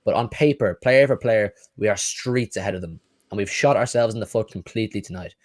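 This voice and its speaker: A man's voice: lowish voice, fairly quick, darkish tone. A young man hosting a live football watch-along, ranting and swearing, then celebrating, and losing his voice.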